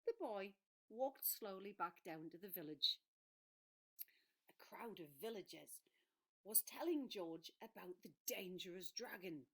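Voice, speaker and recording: A woman storyteller reading to children in a dramatic, deliberate and clearly phrased way. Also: medium pitch, animated, sharp tone, rough recording. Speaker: storyteller for an English school.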